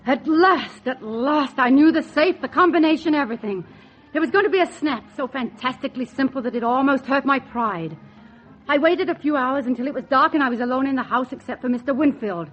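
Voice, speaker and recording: An actress as high-voiced scheming secretary, vintage radio. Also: female voice, fairly quick, soft background music, natural voice. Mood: tense, triumphant. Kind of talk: 1940s radio acting, first-person crime narration. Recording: dull vintage 1940s radio recording.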